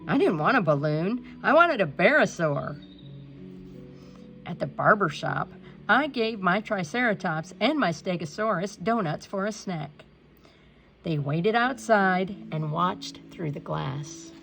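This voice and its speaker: A woman reading a children's storybook aloud in an expressive narrating style, with some creak in the voice. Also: fairly low pitch, measured pace, soft background music. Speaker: storybook reader.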